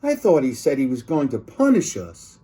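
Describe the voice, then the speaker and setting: A man voicing a mischievous, excitable schoolboy in a children's storybook, exclaiming and protesting. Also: medium pitch, normal speed, husky. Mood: cheeky.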